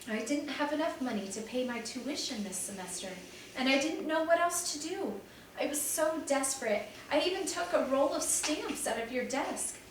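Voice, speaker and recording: A woman's voice: high voice, lively intonation, moderate pace, a little hiss or hum, pitched above the performer's own voice. A woman reading the part of a troubled young male student who admits a wrong. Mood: remorseful. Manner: subdued and apologetic. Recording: very echoey room, distant mic.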